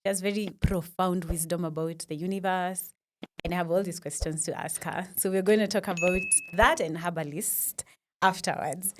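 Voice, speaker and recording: A woman's voice: lowish voice, fairly even intonation, a little hiss or hum. Chatty woman hosting a podcast. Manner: chatty and welcoming, quick reactions. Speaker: podcast host. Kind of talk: casual interview talk. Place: podcast studio.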